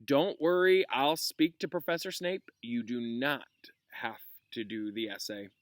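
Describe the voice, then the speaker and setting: A man voicing a calm, reassuring adult male schoolteacher in a storybook read-aloud. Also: mid-range voice, a little slow, no echo, studio quality, close to an everyday voice.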